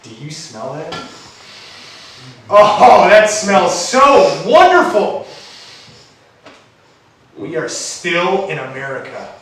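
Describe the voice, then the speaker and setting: Man playing a feisty colonial farmer, high-pitched, echoey. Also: sing-song, a bit bright, heavily acted. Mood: amazed. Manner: theatrical, exclamatory, drawn-out emphasis. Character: humble but feisty colonial American male farmer, rebellious. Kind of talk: live children's stage play acting. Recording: echoey hall, distant microphone.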